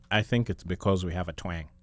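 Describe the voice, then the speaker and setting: A man's voice: sits low, moderate pace, no room sound, faint music behind. A man, a DJ on a talk show panel, teasing and slangy. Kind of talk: casual panel chat.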